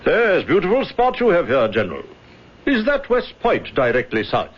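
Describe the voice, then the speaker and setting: A man's voice: fairly high pitch, huge pitch swings, moderate pace, slight background noise. An actor playing a suave, furtive salesman with a secret in a radio drama, formal and ingratiating. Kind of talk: old-time radio drama acting. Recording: dull vintage radio recording.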